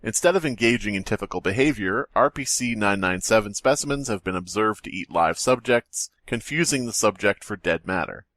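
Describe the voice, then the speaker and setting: Bright, low-pitched man bantering on a podcast. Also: expressive, fairly quick, hardly any pauses, no echo. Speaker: podcast co-host. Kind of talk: casual comedic read-and-react chat.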